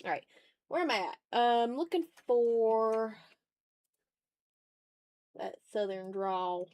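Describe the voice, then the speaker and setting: High-voiced woman chatting on an art livestream. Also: animated, slow pace, a little mellow, no echo. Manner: informal, rambling, talking to chat. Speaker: art streamer and ASMR YouTuber hosting a livestream. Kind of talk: casual livestream chat while drawing.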